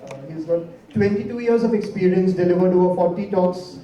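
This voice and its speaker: A man's voice: high voice, a little fast, warm tone. A man hosting a conference, introducing the next speaker with hesitant fillers. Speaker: conference host. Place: conference hall. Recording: echoey hall, distant mic.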